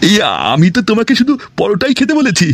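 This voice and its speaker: A man's voice: very high-pitched, lively intonation, fast talker, breathy edge, heavily acted. A man voicing an easygoing, placating young cartoon fellow with a slangy, puzzled manner. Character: easygoing young male friend and tea seller in cartoon. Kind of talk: comedy cartoon dialogue.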